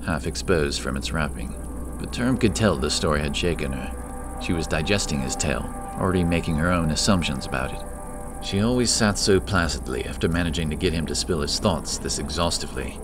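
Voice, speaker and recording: A man delivers scripted fantasy narration. His voice is very low in pitch. Prominent music and effects run behind the storytelling. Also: lively intonation, a little fast.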